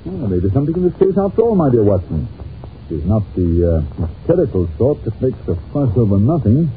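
An actor playing an irritable, imperious English detective in an old-time radio drama, brusque and pompous, with a British accent, on a muffled, noisy recording. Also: male voice, mid-range voice, big pitch swings, a little fast, faint music behind, lightly acted. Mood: interested, courteous.

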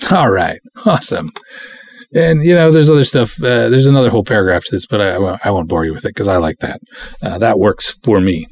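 Husky, lowish-voiced man hosting a podcast. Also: brisk, dry recording. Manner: rambling, self-deprecating, conversational. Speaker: podcast host. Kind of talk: casual solo podcast chat, reading aloud.

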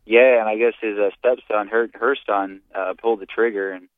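A low-voiced American man reminisces casually as a radio caller. His delivery is halting, with stammered, repeated words. The sound is narrow and phone-like, dry and without room echo. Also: fairly even intonation. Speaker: radio call-in listener. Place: on a phone call to a radio studio. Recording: muffled phone-like call-in line.

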